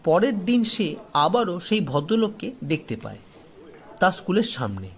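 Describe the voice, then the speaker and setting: A man narrating a scripted horror story as a storyteller, delivering it dramatically and deliberately, phrase by phrase. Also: high voice, sing-song. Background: faint background music.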